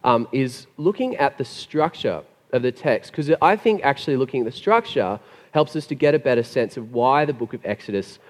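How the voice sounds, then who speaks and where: A man preaching a sermon with a gravelly, hoarse voice after being sick, chatty and joking. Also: mid-range voice, expressive, brisk. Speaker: pastor. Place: church hall. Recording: long hall reverb.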